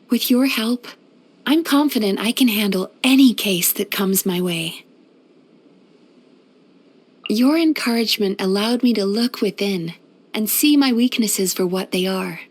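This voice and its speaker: A woman playing a gentle, caring female psychologist in a video game, speaking in a soothing, thoughtful, measured and softly playful manner. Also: medium pitch, husky. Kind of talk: scripted video game character voice lines.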